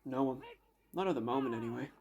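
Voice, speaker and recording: A man's voice: medium pitch, quick pace, a little mellow, lightly acted. A man acting a guarded, idealistic young soldier-poet in a wartime radio drama, reticent in his replies. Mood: shy, guarded. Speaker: actor in a student radio drama.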